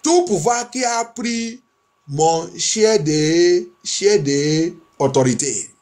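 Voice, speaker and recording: A man's voice: fairly high pitch, animated, very slow, darkish tone. A male pastor praying and preaching fervently, repeating phrases insistently like a chant as he moves between two languages. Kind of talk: fervent charismatic prayer sermon, switching between French and English.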